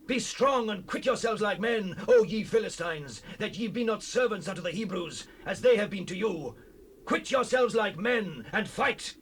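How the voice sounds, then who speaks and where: A man's voice: sits high, lively intonation, moderate pace, breathy edge. An actor playing an alarmed ancient warrior addressing his people in a dramatized Bible recording. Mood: rousing. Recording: noisy raw cassette rip.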